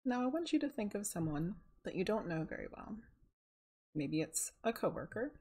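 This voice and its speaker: A woman leading a guided meditation in a calm, soothing, unhurried manner, with a soft, breathy voice. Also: mid-range voice.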